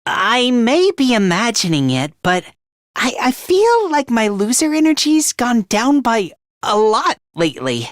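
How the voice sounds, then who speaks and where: A man voicing a meek, self-loathing young anime game character in a high, light voice, hesitant and apologetic, stammering as he puts himself down. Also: huge pitch swings, unhurried, no room sound, clean studio sound, full character voice. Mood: earnest, pleading. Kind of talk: anime-style video game voice acting.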